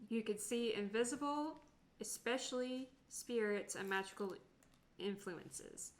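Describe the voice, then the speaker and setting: A woman's voice: a little high, measured pace. A woman reading out her character's options as a player in a tabletop game. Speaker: tabletop role-playing player.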